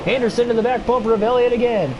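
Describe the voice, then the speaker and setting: A man's voice: extremely high pitch, steady tone, quick pace, dull audio, background noise. A young man with a stuffy nose and a tiring voice, calling a video game race play-by-play and chatting with his stream viewers. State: stuffy nose, voice getting worn. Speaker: streamer doing race commentary. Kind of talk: play-by-play sim racing commentary.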